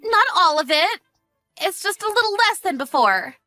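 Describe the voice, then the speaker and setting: A woman voicing a spiteful, greedy sister-in-law with a shrill, whiny manner in a narrated family drama. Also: big pitch swings, moderate pace, bright, forceful tone, no room sound, clean studio sound, soft background music, full character voice. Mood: panicky, pleading. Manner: shrill, indignant, pleading.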